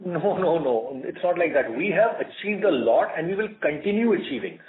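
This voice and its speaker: Indian male executive, somewhat high voice, measured emphatic answers in short phrases, thin conference-line sound. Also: animated, slight background noise. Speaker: company executive answering analysts. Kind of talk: earnings call answers. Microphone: conference call line. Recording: muffled narrowband conference call audio.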